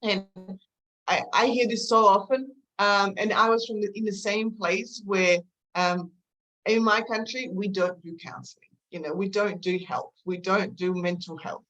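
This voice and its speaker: A woman with a mixed Eastern European and Australian accent, telling her story in a halting, reflective way as an interview guest. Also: medium pitch, steady tone, a bit bright, lo-fi sound. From former Yugoslavia, moved to Australia at eleven. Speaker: podcast guest, counselor.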